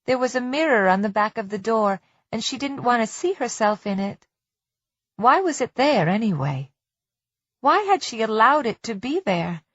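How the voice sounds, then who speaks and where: A woman's voice: a little high, sing-song, normal speed, no room sound. A woman reading scripted fiction as an audiobook narrator, recorded close with slightly muffled audio.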